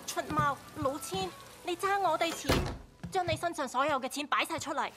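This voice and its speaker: An actress plays a fierce scold in a game cutscene. Her voice is high and sharp-tempered, with loud clicks and knocking around it. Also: normal speed, very noisy, lightly acted. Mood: furious, scornful. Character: fierce, scolding Chinese woman demanding money. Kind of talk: dramatic video game cutscene acting.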